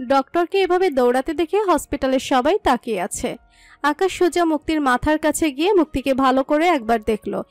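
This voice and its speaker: A woman narrates a scripted dramatic story. Her voice is high and sits in a fairly dry recording, with soft music behind her. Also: lively intonation, a little fast, no room sound.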